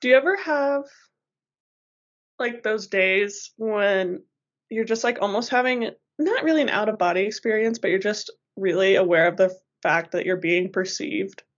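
A woman's voice: medium pitch, normal speed, dull audio. A woman co-hosting a podcast in casual chat, playful and slangy, often laughing. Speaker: podcast co-host.

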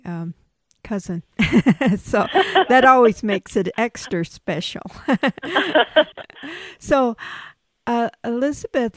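Slow, gentle woman radio host. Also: mid-range voice, no room sound. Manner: slow, gentle, halting with restarts. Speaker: radio talk show host. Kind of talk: casual radio interview.

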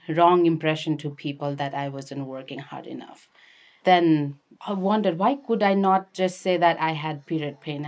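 A woman's voice: low voice, a little mellow. A young woman from Nepal with a Nepali accent, telling personal stories conversationally as an interview guest. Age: young adult. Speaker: interview guest, activist and filmmaker.

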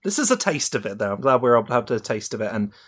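A man's voice: medium pitch, sing-song, racing, a bit bright. A man co-hosting a podcast in a casual, conversational style. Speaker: podcast co-host.

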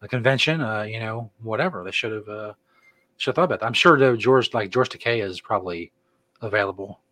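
A man's voice: lowish voice, fairly quick, sharp tone. Laid-back man co-hosting a chat show. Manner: laid-back, joking, rambling. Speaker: podcast co-host. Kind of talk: casual chat.

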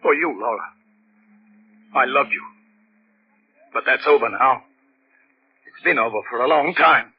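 An actor playing a desperate, scheming murderer in intense, melodramatic 1940s radio style. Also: male voice, medium pitch, unhurried, pauses often, lightly acted. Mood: cold scheming. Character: desperate male murderer, cold schemer turning panicked. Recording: noisy vintage radio recording, muffled.

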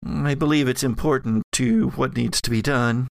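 A man's voice: medium pitch, moderate pace, vocal fry, dry recording, close to an everyday voice. A man voicing an ancient female spirit made of ectoplasm in an audio drama game, musing evasively and searching for words. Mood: coolly persuasive.

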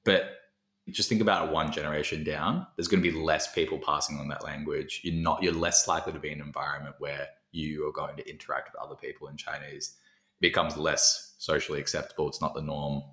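Man, extremely deep voice, reflective and chatty, echoey room. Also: little pitch movement, fairly quick, pushed and bright. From Australia. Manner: reflective, thinks aloud with fillers. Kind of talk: casual conversation. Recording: roomy echo.

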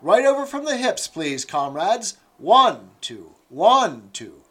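A man's voice: sing-song, slow pace, pushed and bright, full character voice. A man voicing a shrewish, bossy woman fitness instructress in her late thirties who barks commands. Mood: brisk, enthusiastic. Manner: shrill, barking commands.

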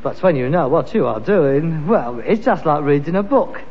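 An actor playing a pretentious, defensive grown son in a vintage British sitcom, with a London accent and a blustering delivery. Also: male voice, fairly high pitch, animated, moderate pace, breathy edge, in a large hall, dull audio.

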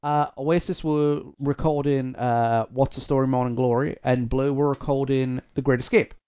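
A man's voice: mid-range voice, fairly even intonation, moderate pace, dark tone, smooth. A man hosting a music podcast in a rambling, self-deprecating, chatty way, his audio sounding compressed and dull. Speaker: podcast host. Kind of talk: casual podcast chat.

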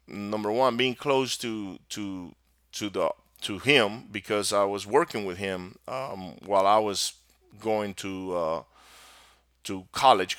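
A middle-aged man from Venezuela with a Spanish accent talks through a solo podcast, his voice pitched a bit low yet bright and forceful. He rambles, restarting sentences and piling on fillers. The sound is close and dry. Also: dry recording. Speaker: podcast host.

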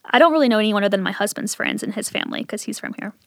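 A woman's voice: mid-range voice, expressive, racing, creaky, dry recording, clean studio sound. A woman in her thirties from Appalachian southern Ohio and later Virginia, telling personal stories casually as an interview guest. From southern Ohio Appalachia, then Richmond, Virginia. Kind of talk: casual conversational storytelling.

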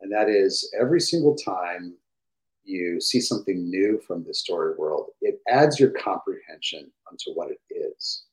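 A middle-aged man with a fairly deep voice, chatting enthusiastically as a podcast guest through a gaming headset, with fillers and restarts. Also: animated, normal speed, low-quality recording. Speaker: guest, game industry executive. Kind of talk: casual podcast chat.

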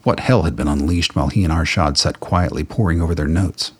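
Very deep-voiced male story narrator. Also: brisk, husky. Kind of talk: scripted radio drama narration.

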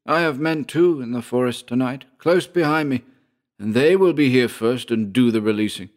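A man's voice: medium pitch, moderate pace, pitched above the performer's own voice, lightly acted. A man voicing a savage, mocking poacher, snarling and jeering at his enemy in a storybook tale. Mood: quiet menace.

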